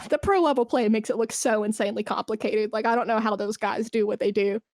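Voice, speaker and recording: High-voiced woman chatting casually. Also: a little fast, no room sound, studio quality. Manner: animated, self-deprecating, slangy. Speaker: podcast guest, esports brand manager. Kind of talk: casual chatty podcast interview.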